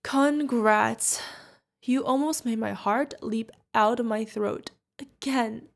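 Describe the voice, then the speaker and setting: A woman's voice: a little high, slow pace, gravelly. A woman playing a fierce yet tender witch mentor who is protective of an adopted pupil, in an emotional fantasy audio roleplay. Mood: relieved, teasing. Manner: emotional, commanding, affectionate, pleading. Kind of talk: ASMR fantasy roleplay acting.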